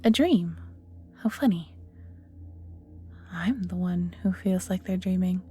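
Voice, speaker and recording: A woman's voice: fairly low pitch, slow, mellow, slightly rough, heavily acted. A woman playing an ancient banished evil magical being in an audio roleplay, flirty and world-weary, musing theatrically and often sinking to near-whispers, with music behind. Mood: wistful musing. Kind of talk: scripted audio roleplay acting, addressing the listener.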